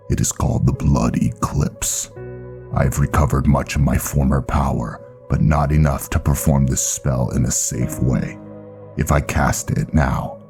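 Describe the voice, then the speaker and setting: Man voicing a very deep, gravelly vampire knight elder. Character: solemn, commanding male vampire blood knight elder. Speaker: character narrator in a roleplay story. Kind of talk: dramatic scripted fantasy roleplay.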